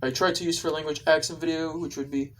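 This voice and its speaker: Guy talking to viewers about his video, kinda halting, repeats himself, casual. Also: on the high side, level tone, fairly bright tone, recorded from a distance, slight background noise. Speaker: video creator.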